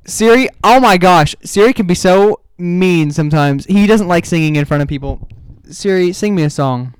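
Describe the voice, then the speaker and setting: A man's voice: sits high, animated, moderate pace, clean tone, no room sound. A young man co-hosting a podcast, joking around in a goofy, playful, teasing way. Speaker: podcast co-host. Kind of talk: casual comedic chat.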